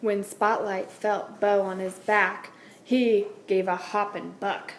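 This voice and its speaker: Lady teacher reading a kids picture book out loud, echoey room, some background noise. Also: mid-range voice, level tone, slow. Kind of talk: children's storybook read-aloud. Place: indoors at a wooden table, book on camera. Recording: roomy echo, clear background noise.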